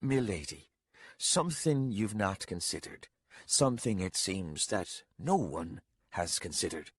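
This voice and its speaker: Man doing gruff old castellan, low and slow, patient, dry close sound. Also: animated, no room sound, exaggerated voice. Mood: grave.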